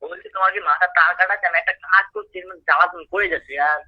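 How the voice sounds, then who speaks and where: Young male call recipient, exasperated and husky, talking fast in casual protest over lo-fi phone audio. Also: high-pitched, fairly even intonation, no echo. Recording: dull lo-fi phone line.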